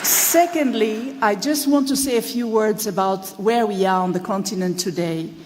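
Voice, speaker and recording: A woman's voice: medium pitch, a little mellow, a faint crowd. Woman speaking in a reverberant hall. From Tanzania. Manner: measured and deliberate, slowing to stress key phrases. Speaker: award recipient. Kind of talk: formal acceptance speech. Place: large hall at an awards ceremony.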